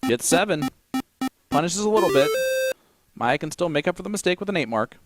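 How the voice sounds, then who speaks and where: A man's voice: a little high, animated, brisk, loud music behind the voice. A man with an Indiana accent calling a darts match play by play, joking and teasing his co-commentator. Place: commentary desk at a darts tournament.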